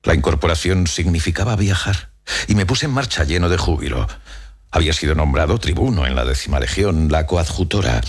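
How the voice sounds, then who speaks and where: A reflective Roman emperor. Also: male voice, extremely low pitch, breathy edge, heavily acted. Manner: measured, literary, contemplative delivery. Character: Roman emperor recalling his youth, proud, reflective. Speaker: actor reading first-person memoir. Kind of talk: documentary dramatic reading. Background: music.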